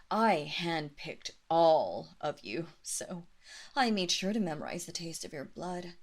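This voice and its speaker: A woman playing a haughty, seductive vampire lord in a roleplay audio drama, commanding and deliberate, drawing out words and slipping into breathy whispers. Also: on the low side, heavily acted. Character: haughty, seductive female vampire lord, mistress of a great house.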